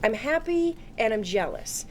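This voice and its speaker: A high-pitched, talkative wife acted by a woman in a TV drama table read. She gushes and rambles, excitable and anxious, with emphatic delivery. Faint room noise sits behind her. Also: a little slow. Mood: gushing, envious.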